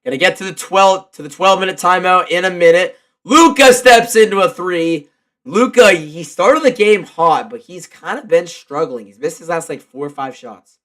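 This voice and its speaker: Congested guy calling a basketball game live, kinda high bright voice, gets hyped and casual. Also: normal speed. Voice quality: stuffy, congested. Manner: excitable, shouts on big plays. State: congested from a cold. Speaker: live play-by-play commentator and streamer.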